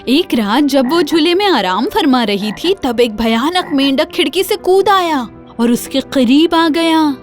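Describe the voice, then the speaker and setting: A woman narrates a children's fairy tale as a scripted storyteller. Her voice is high. Soft music runs underneath. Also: lively intonation. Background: faint music.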